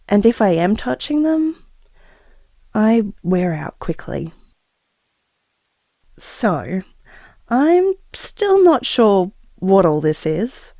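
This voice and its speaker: A gentle expectant mother with quiet confidence, played by a woman in an audio drama. Her voice is soft-edged and mellow, with lively melodic movement. She confides in a measured, reflective way, recorded very dry. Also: mid-range voice, no room sound. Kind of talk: intimate audio drama monologue.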